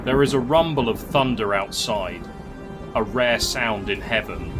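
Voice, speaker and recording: Slow-paced male fantasy narrator over music. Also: fairly low pitch. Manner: measured, literary storytelling. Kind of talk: scripted story narration of a fantasy tale. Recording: music clearly under the voice.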